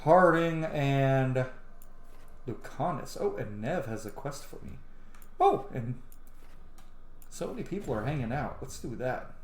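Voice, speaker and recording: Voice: mid-range voice, animated, measured pace, long pauses. A gamer giving casual, offhand playthrough commentary, thinking aloud. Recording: roomy echo, clear background noise.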